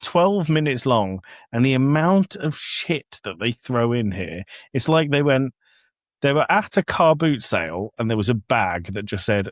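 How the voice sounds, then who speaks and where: A man's voice: mid-range voice, very animated, unhurried, no echo, studio quality. A man co-hosting a podcast, talking casually as he reviews things. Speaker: podcast co-host.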